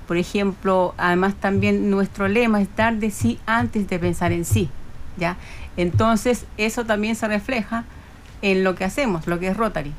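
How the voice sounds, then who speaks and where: A woman with a Chilean accent talking conversationally as a club guest in a radio interview. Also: mid-range voice. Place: radio studio. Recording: faint background noise.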